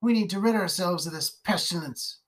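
A narrator voicing a harsh rural mother who scolds in a rough country dialect, pitched high. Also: normal speed, lightly acted. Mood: scolding. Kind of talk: storybook character voice.

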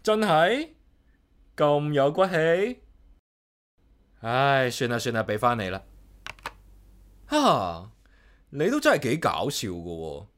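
An actor playing a solitary, caring young office worker with a Hong Kong accent, narrating and chatting casually in a radio drama. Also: male voice, medium pitch, huge pitch swings, takes it slowly, pauses often, fairly bright tone, heavily acted. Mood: teasing, curious. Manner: casual, talks playfully to himself and pet. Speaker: lead character and narrator. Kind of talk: radio drama dialogue and inner-monologue narration.

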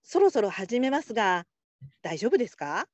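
Woman doing patient office supervisor lady, highish voice, very up-and-down, encouraging, radio drama, dry slightly dull audio. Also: moderate pace, no echo. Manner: calm, encouraging, politely instructive. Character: patient, supportive female call-centre supervisor. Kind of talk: Japanese radio drama acting.

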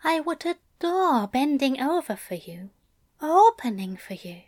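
A woman voicing a gentle, sly male angel who speaks in a soft, murmuring voice, lifting her pitch above her narration. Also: sing-song, measured pace. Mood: murmuring, coaxing.

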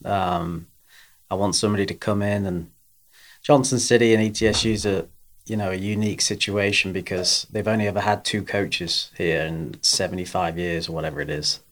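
A man from England in his thirties, voicing a golf coach in a relaxed podcast chat. His voice sits low. He talks in a halting way, with frequent fillers and restarts. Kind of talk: casual interview.

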